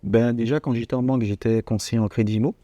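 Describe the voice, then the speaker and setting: Man explaining in a mellow, dry voice. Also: medium pitch, fairly even intonation, fast, no room sound, highs cut off. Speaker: podcast guest, coach. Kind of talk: casual explanatory conversation.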